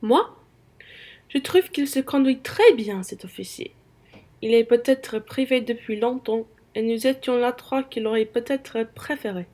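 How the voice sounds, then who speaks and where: A woman playing a spiteful, coarse merchant's wife in a radio play, sharp-tongued and scornful. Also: fairly high pitch, measured pace. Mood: catty.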